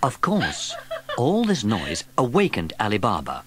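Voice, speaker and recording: A man narrating a children's story from a script in an expressive storytelling manner. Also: medium pitch, a little slow, very noisy. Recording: vintage cassette tape. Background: music.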